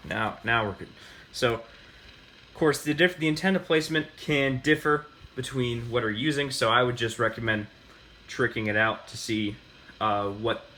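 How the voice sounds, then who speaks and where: A man giving a casual, unscripted how-to demonstration, halting and often correcting himself as he talks. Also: medium pitch, bright, forceful tone, a little hiss or hum, poor audio. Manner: halting, self-correcting delivery. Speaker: host of a hobbyist demonstration video.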